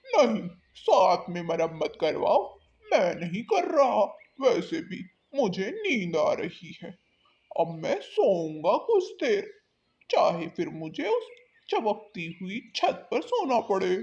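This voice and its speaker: A woman's voice: mid-range voice, wildly swooping pitch, slow pace, darkish tone, dull audio, exaggerated voice. A woman voicing a lazy, grumbling crow husband in a cartoon, with a petulant drawl. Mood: sulky, lazy. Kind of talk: Hindi cartoon voice acting.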